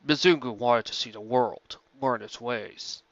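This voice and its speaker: A man voicing a huge ancient male dragon, wise and courteous, speaking in formal, deliberate, unhurried phrases. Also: mid-range voice, a bit bright, dry recording, highs cut off. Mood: calm, explaining.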